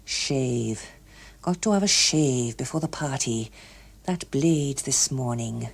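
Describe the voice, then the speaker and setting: A woman's voice: extremely low pitch, lively intonation, slow pace, creaky, breathy edge, pitched below the performer's own voice, full character voice. A woman voicing an earnest, lovesick, self-pitying man with a hesitant, pleading manner in an audiobook. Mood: preoccupied.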